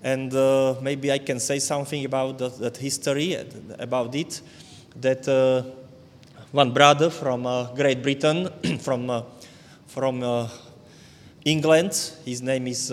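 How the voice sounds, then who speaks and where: A man with a Slovak accent, Slovak his first language, speaking haltingly with many fillers and restarts as a visiting preacher addressing a church. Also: medium pitch, steady tone. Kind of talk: church talk. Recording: long hall reverb.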